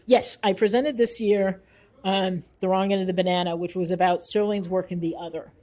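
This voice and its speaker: A woman's voice: mid-range voice, fairly even intonation, moderate pace, dark tone. A woman giving thoughtful interview answers as a researcher and author, explaining her views in an earnest, emphatic way.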